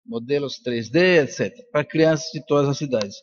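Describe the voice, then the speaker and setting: A man's voice: mid-range voice, normal speed, muffled recording. An older man from Colombia, a native Spanish speaker, giving an informal, reflective conference talk with personal stories. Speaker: neuroscientist and professor speaking at a congress.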